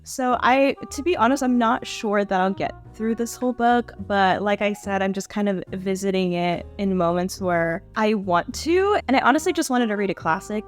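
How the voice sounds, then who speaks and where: A young woman book vlogger with a hoarse, congested throat, chatting casually and gushing to the camera. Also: mid-range voice.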